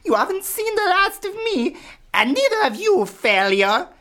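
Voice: very high voice, big pitch swings, a little slow, sharp tone, exaggerated voice. A performer playing a pompous, scheming villain swami in a comic radio play, with theatrical, taunting delivery. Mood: enraged. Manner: theatrical, gloating, rhyming taunts.

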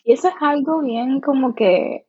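A young woman with a strong Puerto Rican accent, chatting casually as a guest in a relaxed, informal way with English words mixed in. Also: high-pitched, normal speed, no room sound, lo-fi sound. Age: young adult. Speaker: social media creator, interview guest. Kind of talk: casual chat.

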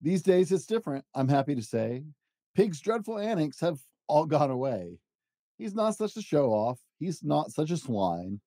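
A man hosting a podcast, gently reading a children's picture book aloud to young listeners. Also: a little high, normal speed, no echo, clean studio sound. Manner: animated, gentle storytelling.

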